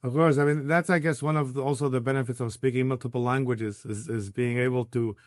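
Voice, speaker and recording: A man's voice: medium pitch, steady tone, fast talker, no room sound. Earnest Iraqi-born man, dry close sound. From Iraq. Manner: earnest, thoughtful, frequent fillers and restarts. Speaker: podcast guest. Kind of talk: conversational interview answers. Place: remote call. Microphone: video call.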